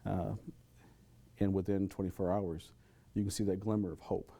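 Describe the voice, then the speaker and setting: Very dark, husky low-voiced man. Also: level tone, normal speed. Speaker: veterans' outreach worker being interviewed. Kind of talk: unscripted interview answers.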